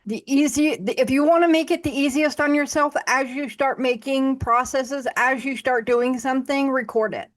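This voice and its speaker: A woman's voice: high-pitched, normal speed, few pauses. A woman hosting a podcast, talking casually and bluntly, with frequent restarts. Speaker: podcast host. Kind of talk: casual conversation.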